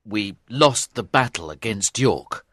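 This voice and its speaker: A stern knight with a hard, forceful edge who speaks slowly and gives orders, as a male storyteller puts him on. The reading is dry and close. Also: on the low side, expressive, husky, no echo, heavily acted.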